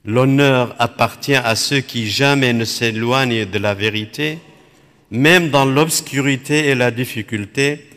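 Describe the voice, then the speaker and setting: A man from Iraq, a Dominican friar whose first language is Aramaic, speaks in short, measured phrases with long pauses between them. His delivery is unhurried and contemplative. His voice carries a long reverb, as if spoken in a large hall. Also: medium pitch, fairly bright tone. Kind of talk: slow reflective spiritual talk.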